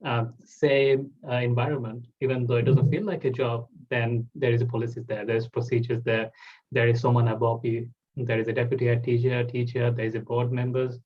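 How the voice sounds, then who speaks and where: A man's voice: medium pitch, highs cut off. A man, hosting a casual interview and talking loosely with many "like" fillers. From Bangladesh. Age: 20s. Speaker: host.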